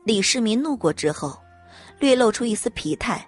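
A woman reading scripted dramatic audiobook narration in an expressive storytelling manner. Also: fairly high pitch, normal speed, slightly rough, soft background music.

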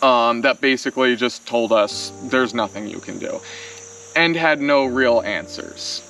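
A young man, a vlog co-host, explaining outdoors in a wooded clearing in a casual, exasperated way, full of "like"s. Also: mid-range voice, a bit bright, soft background music. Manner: exasperated, fast explaining with many "like"s. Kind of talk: casual chat to camera. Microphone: camera-mounted mic, later handheld selfie camera. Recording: outdoor camera audio from a little distance.

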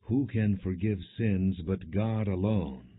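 Low-voiced guy solemnly narrating a bible audiobook, measured, sounds tinny like over a phone. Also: slightly rough. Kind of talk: solemn scripted Bible reading, non-dramatized. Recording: muffled, phone-like narrow band.

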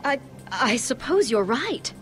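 A regal game ruler, fairly high and measured in pace. She is formal and hesitant, easily overwhelmed, with music behind her. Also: very animated, fairly bright tone, full character voice. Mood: uneasy. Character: refined, regal female ruler, anxious and overwhelmed. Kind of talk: video game voice acting. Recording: music under the dialogue.

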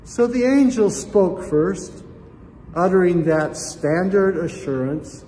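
An older male pastor gives a slow, thoughtful sermon to camera from his church sanctuary. His voice sits high for a man, and a long reverb and faint room hiss trail behind it. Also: animated, mellow. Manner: reflective, addresses listeners as friends, gestures with both hands. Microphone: camera at chest height, seated facing it.

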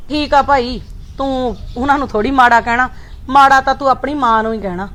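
A woman acting as an older mother in a family drama, reproachful and quick to take offence. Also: high voice. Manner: reproachful, grows heated and loud.

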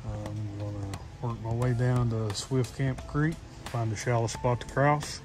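A man's voice: medium pitch, measured pace, over music. A young man narrating his hike outdoors in a forest, talking casually into a phone held at arm's length, with plenty of "uh" fillers. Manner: relaxed, practical, many "uh" fillers. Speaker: hiking vlogger.

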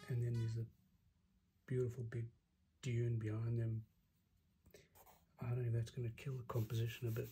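A man's voice: mid-range voice, completely flat delivery, moderate pace, pauses often, dark tone, a little hiss or hum, poor audio. A middle-aged man chatting casually as he hosts an art demo, musing aloud in an understated way. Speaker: artist and channel host giving a drawing demo. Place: living room at home, indoors.

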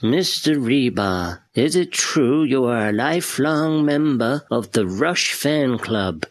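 A man's voice: medium pitch, lively intonation, slow pace, soft background music. A man playing a persistent, formal press reporter asking absurd accusatory questions in a comedy radio play. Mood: prying.

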